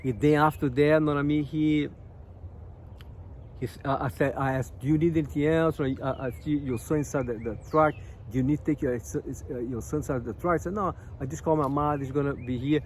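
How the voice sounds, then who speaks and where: A man from Brazil with a Brazilian Portuguese accent, speaking English as a second language, sharing personal stories in an earnest, halting way and often asking "you know what I mean?". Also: on the high side, steady tone, a little hiss or hum. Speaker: massage therapist sharing life lessons. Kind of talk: casual personal talk to viewers.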